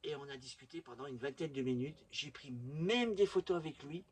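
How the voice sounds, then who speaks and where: Husky-voiced chatty YouTube collector. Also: medium pitch, normal speed. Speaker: YouTube channel host presenting a collection. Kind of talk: casual collection show-and-tell.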